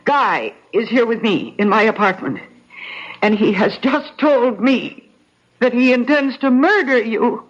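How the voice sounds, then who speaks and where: An actress playing a horrified, defiant accuser in a radio drama, halting and indignant. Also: female voice, fairly high pitch, lively intonation. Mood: urgent pleading. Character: horrified, accusing fashion designer, defiant and emotional. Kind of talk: old-time radio drama acting. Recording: dull, lo-fi radio drama recording.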